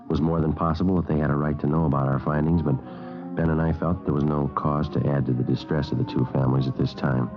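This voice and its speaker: A businesslike police detective in a 1950s radio drama. His voice is extremely deep and his delivery dry and matter-of-fact. The old recording is muffled, with music clearly behind it. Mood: grave, matter-of-fact. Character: dry, businesslike male police sergeant narrating his case.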